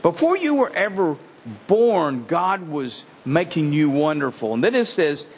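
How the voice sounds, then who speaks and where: A man gives an earnest children's sermon, his voice rather high and swinging widely in pitch. He talks straight to the kids in a large reverberant hall. Faint room ambience sits behind him. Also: measured pace, a little hiss or hum. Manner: animated, earnest, speaking directly to kids. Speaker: children's pastor.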